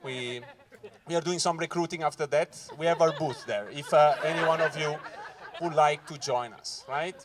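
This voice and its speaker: A Swiss man from Ticino, an English speaker, doing a loud, animated stand-up set at a corporate event and talking straight to the crowd. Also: on the high side, moderate pace, noisy background. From Switzerland (Ticino). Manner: loud, animated crowd work, keeps saying "guys". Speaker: stand-up comedian. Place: corporate event hall with live audience.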